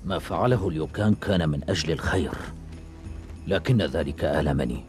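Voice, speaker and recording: An actor playing a grave, repentant ninja warrior who was once a traitor, earnest and solemn, in a dubbed cartoon. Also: male voice, very deep voice, brisk. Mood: grave, resolute. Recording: loud music behind the voice.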